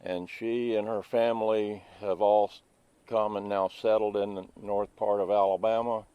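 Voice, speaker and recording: A man in his seventies, a Vietnam helicopter pilot veteran, telling a story in a slow, measured way in an interview. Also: on the low side, little pitch movement, clean tone, no room sound, highs cut off. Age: 70s.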